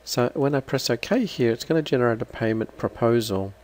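A man giving an unscripted, halting step-by-step software walkthrough as a trainer. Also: mid-range voice, expressive. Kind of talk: screen-recorded software walkthrough.

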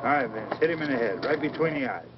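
Actor as commanding police chief, muffled old film. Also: male voice, mid-range voice, expressive, quick pace, heavy background noise, lightly acted. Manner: commanding, matter-of-fact. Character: brisk matter-of-fact small-town police chief giving orders. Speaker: police chief leading a posse.

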